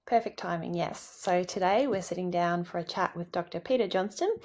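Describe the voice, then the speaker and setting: A woman's voice: fairly low pitch, brisk, a little mellow. A woman speaking as a podcast co-host, introducing and questioning a guest.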